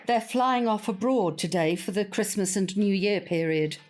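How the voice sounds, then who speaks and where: An actress playing a prim, proud older British neighbour in an audio drama, formal and stiffly polite. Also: female voice, medium pitch, normal speed, a little mellow, close to an everyday voice. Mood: stiff, wistful. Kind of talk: naturalistic audio drama acting.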